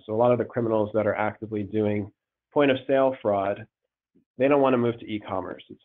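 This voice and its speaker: A man with a cold presenting a webinar on fraud as a risk manager, halting and repeating words, with many ums. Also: lowish voice. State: congested with a cold. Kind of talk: slide presentation over a call. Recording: tinny phone-line audio.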